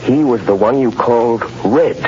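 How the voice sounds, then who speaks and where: A man playing a mysterious, commanding vigilante in an old-time radio drama, with deliberate, dramatic delivery. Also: medium pitch, natural voice. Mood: intense concentration. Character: mysterious commanding male mind-reading vigilante, urgent and intense. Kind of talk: 1930s-40s radio acting. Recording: muffled, noisy old radio broadcast recording.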